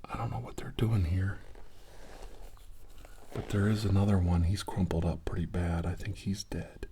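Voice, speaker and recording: A man playing a calm, caring 1940s army doctor in an ASMR role-play, murmuring hesitantly and often dropping to a whisper. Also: low voice, moderate pace, close to an everyday voice. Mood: wry, musing. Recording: noisy recording with clicks.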